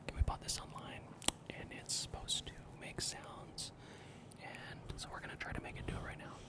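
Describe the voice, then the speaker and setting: Male, 30s, hushed ASMR host, whispers right up on the mic. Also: normal speed. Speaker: host of a family video channel, a dad. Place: indoor room at a wooden table. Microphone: desktop microphone on a small tripod, close up.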